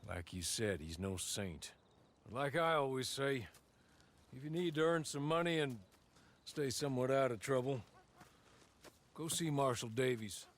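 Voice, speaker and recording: A man playing a sober, plain-spoken frontier advisor in a Western video game, measured and dry. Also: mid-range voice, expressive, natural voice. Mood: sober, advising. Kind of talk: video game cutscene acting.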